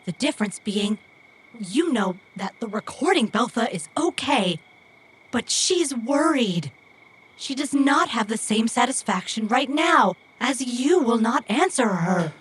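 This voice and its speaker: A woman's voice: a little high, animated, a little slow, a little hiss or hum. A woman playing an earnest, childlike and stubborn runner in an audio drama, with formal, literal, precise phrasing. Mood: earnest, solemn. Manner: formal, stilted, precise phrasing without contractions.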